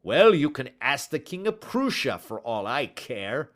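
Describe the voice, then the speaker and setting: A man reading aloud and voicing an angry, quarrelsome market salesman who shouts fiercely. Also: medium pitch, sing-song, measured pace, pitched above the performer's own voice.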